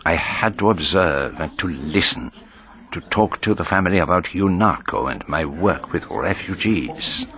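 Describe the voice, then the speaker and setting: An actor playing a courteous, shrewd foreign detective in a radio drama, with a French-speaking accent and formal, precise, measured delivery. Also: male voice, low-pitched, lively intonation, sharp tone, full character voice. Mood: reflective. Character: courteous, shrewd foreign male detective narrating his case. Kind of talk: radio drama acting and first-person narration.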